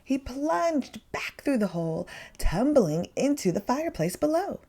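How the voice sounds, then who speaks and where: A woman's voice: high voice, very animated, a little slow, dark tone. A woman reading a children's picture book aloud expressively, with dramatic emphasis on key words. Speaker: story time reader.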